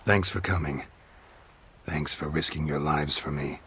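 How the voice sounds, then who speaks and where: An actor voicing a gruff, stoic monster hunter in a video game, gravelly and hushed. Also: male voice, very deep voice, fairly even intonation, measured pace, a little hiss or hum, exaggerated voice. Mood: quietly grateful. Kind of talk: dramatic video game voice acting.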